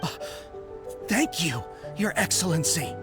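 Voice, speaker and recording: A man's voice: a little high, animated, measured pace, over music. A man voicing a humble, deferential soldier in an English video game, speaking formally, respectfully and earnestly. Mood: bewildered.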